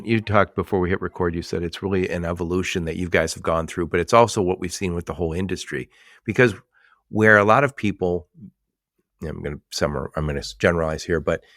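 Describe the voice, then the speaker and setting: Deep-voiced podcast host, dry sound. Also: male voice, a bit bright, no echo. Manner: conversational, generalizing, asks short stacked questions. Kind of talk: business podcast interview.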